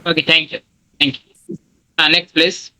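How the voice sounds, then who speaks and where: A man's voice: fairly high pitch, flat delivery, a little slow, pushed and bright, low-quality recording. A man leading a live online training session over a video call, speaking plain non-native English with many "okay"s and fillers. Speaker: online course instructor.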